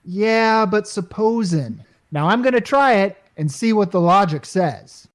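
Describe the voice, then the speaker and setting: Wisecracking male office worker for radio comedy, played by a woman: colloquial, urgent, very animated intonation. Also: medium pitch, a little slow, lightly acted. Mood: alarmed. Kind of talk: old-time radio comedy acting.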